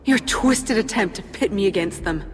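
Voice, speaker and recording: An actor playing a haughty, distrustful young warrior heir of the fire giants in a video game, speaking formally. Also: male voice, high voice, expressive, normal speed, a little hiss or hum. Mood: suspicious, indignant. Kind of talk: video game character acting. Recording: long hall reverb, faint music behind.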